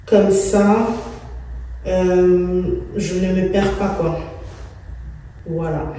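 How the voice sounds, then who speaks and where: A Cameroonian woman whose first language is English talks casually to her vlog, musing aloud and dropping in English words. Her tone is mellow. She sounds distant from the microphone, in an empty room with long, heavy reverberation. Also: fairly low pitch, fairly even intonation, very slow. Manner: informal, thinking aloud, slips in English words. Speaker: vlogger. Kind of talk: casual vlog chat while working. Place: empty freshly plastered room indoors. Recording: very echoey empty room, faint noise, distant mic.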